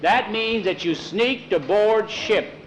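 Indignant, no-nonsense male traveller for a told story, voiced by a man, emphatic and firm, higher-pitched. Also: slow pace, a little hiss or hum, low-quality recording. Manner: stern, emphatic. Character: indignant adult male traveller, stern but fair, with guns. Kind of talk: storytelling character voice.

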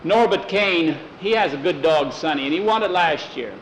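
A man's voice: fairly high pitch, animated, normal speed, slight background noise, lightly acted, low-quality recording. A man voicing a friendly, folksy rural horseman with a plain-spoken way of talking. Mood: warm, sincere. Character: rural sheep-owning horseman, friendly, apologetic, grateful man.

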